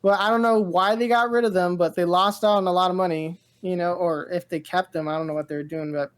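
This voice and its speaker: A woman co-hosting a casual pop culture podcast, rambling and halting as she thinks aloud. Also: on the low side, fairly even intonation. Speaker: podcast co-host.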